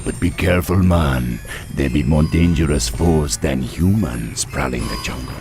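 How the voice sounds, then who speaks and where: An actor playing a wily troll tribe chieftain in a fantasy game, with a gravelly Caribbean accent and a drawling, commanding manner. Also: male voice, rumbling low, unhurried. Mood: pleased, cautioning. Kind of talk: fantasy game character acting. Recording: music under the voice.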